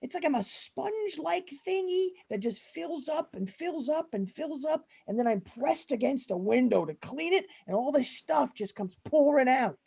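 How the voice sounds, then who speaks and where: A woman's voice: high-pitched, lively intonation, moderate pace, creaky, hardly any pauses, dark tone. A woman playing an exasperated male mob boss who runs a pizza parlor in a comic radio play, talking in melodramatic, colloquial tough-guy style. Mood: agitated, confused.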